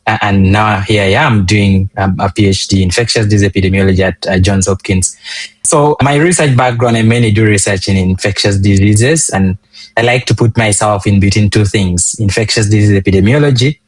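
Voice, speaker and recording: Low-voiced man from Uganda, measured. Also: no echo. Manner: earnest, measured, frequent ums and restarts. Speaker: guest, public health physician. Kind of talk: conversational interview answers.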